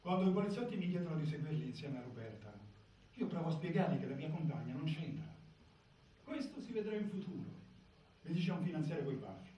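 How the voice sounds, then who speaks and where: A man's voice: fairly high pitch, big pitch swings, a little fast. An actor playing an earnest pacifist carpenter telling his story in a theatrical monologue, recorded muffled and far from the mic in an echoing space. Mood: recounting, subdued. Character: earnest pacifist male carpenter, recounting his own arrest. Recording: echoey stage, distant mic, muffled, noisy.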